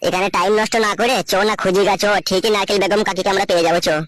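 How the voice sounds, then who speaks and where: A woman's voice: medium pitch, fairly even intonation, very fast, no echo, clean studio sound, natural voice. A woman voicing a cheeky, crude young nephew in a comic cartoon, blunt and teasing. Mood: consoling, teasing.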